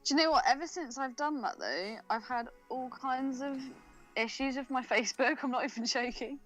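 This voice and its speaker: A woman's voice: high voice, normal speed, a bit bright. A woman co-hosting a podcast, chatting casually with an informal, teasing manner. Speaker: podcast co-host. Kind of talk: casual bantering chat. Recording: dry, music behind the voice.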